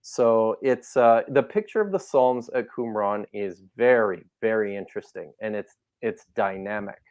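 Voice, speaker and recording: A man's voice: fairly low pitch, moderate pace, darkish tone, no echo. A man talking as a guest scholar in a casual interview, thoughtful and hesitant, with many fillers and restarts.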